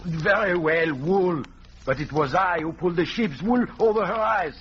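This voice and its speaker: An actor playing a vain, shrewd detective with a French accent and courtly, grand manner in a 1940s radio drama. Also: male voice, sits high, normal speed, fairly bright tone, husky, exaggerated voice. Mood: smug, triumphant. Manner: pompous, courtly, speaks of himself grandly. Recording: dull, noisy 1940s radio recording.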